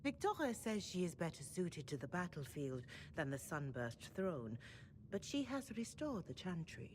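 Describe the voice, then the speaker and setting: A woman playing a dignified, devout senior religious mother in a video game, speaking in a formal, measured and deferential manner. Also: lowish voice, big pitch swings, darkish tone, a little hiss or hum. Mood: respectful. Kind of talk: video game cutscene acting.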